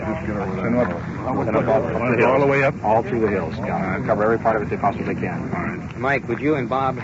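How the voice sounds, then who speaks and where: A low-voiced American man speaks as a police sergeant directing others in a plain, matter-of-fact way. It is a dull vintage field recording with heavy vehicle and radio noise around him. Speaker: police sergeant organizing a search. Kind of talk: unscripted briefing, giving instructions. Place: on location, largely outdoors. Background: loud radio, vehicle and outdoor noise.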